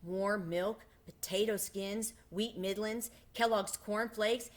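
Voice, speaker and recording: A woman reading a children's book aloud in an expressive storytelling style. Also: mid-range voice, slow. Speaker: storybook reader.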